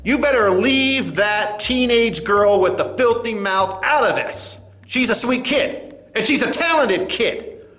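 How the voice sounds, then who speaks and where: A man playing a condescending, contemptuous corporate spokesman in a satirical comedy sketch, talking in halting mock-official phrases. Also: sits high, sharp tone, reverberant, full character voice. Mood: defensive, scolding. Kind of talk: satirical press conference acting.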